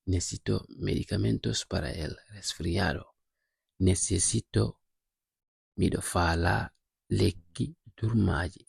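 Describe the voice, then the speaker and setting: A man teaching phrases in a slow drill, saying each one several times before giving its meaning. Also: sits low, gravelly, a little mellow, slightly rough, no room sound. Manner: reads each phrase twice or three times, then gives the meaning. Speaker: language teacher.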